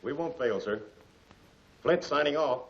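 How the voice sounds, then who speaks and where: An actor playing a brisk, obedient male gangster henchman in a 1940s film serial. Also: on the high side, expressive, a little hiss or hum. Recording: distant, echoey, muffled 1940s film soundtrack.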